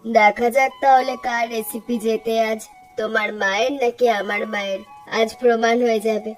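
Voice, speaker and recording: A homely village housewife from a cartoon , played by a woman, speaks in a high voice. She is chatty and expressive, with music playing underneath. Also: moderate pace, close to an everyday voice. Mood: chatty, competitive. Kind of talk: cartoon story dialogue.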